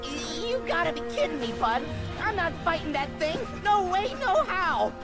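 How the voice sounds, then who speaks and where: A woman's voice: very high-pitched, sing-song, moderate pace, loud music behind the voice. A woman voicing a plucky, grumbling sidekick in a video game, slangy and exclamatory. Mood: balking, grudging. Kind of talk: animated video game cutscene acting.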